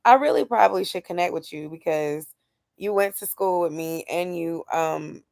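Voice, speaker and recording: A woman's voice: lowish voice, moderate pace, creaky, a little mellow, no room sound, clean studio sound. A woman in her late twenties, chatting candidly and thinking aloud about her plans while answering comments from her viewers. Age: 20s. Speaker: YouTuber doing a weekly check-in. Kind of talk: casual chat with a live chat.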